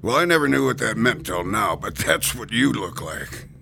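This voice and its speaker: An actor playing a wary middle-aged stonemason in a radio drama, with a gravelly voice. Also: male voice, fairly low pitch, big pitch swings, normal speed, a crowd in the background. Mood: amused.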